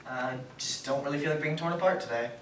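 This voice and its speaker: A man's voice: on the high side, fast, a bit bright, distant mic, lightly acted. A man playing a timid, self-deprecating aspiring scriptwriter in a stage play, hesitant and stammering. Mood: guarded, awkward. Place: theater stage, distant mic. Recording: echoey stage recorded from afar, noisy.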